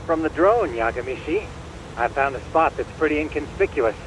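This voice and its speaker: A man's voice: fairly high pitch, lively intonation, moderate pace, background noise, close to an everyday voice. An actor playing a chatty, eager young male hacker in a video game, speaking in a formal, theatrical, wordy way. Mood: helpful, composed. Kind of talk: English video game voice acting.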